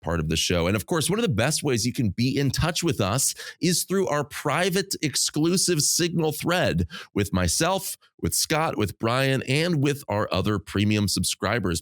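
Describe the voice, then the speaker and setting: A male podcast host, getting over a cold that drops his voice lower than usual, giving an upbeat scripted welcome and interview intro. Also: sing-song, moderate pace, hardly any pauses, no room sound, studio quality. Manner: upbeat, promotional, warmly welcoming.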